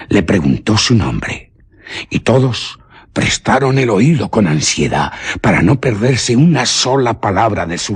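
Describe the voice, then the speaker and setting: A man narrating a suspense radio story in a solemn, deliberate manner. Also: very deep voice, a little hiss or hum.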